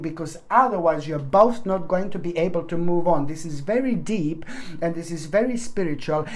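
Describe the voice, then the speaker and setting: A woman's voice: on the low side, a little mellow. A woman talks through a tarot reading with earnest emphasis. She repeats her points, trails into fillers and restarts, and calls her viewers fond pet names. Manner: earnest, repeats herself, addresses viewers with endearments. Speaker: tarot reader. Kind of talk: weekly tarot reading monologue to camera.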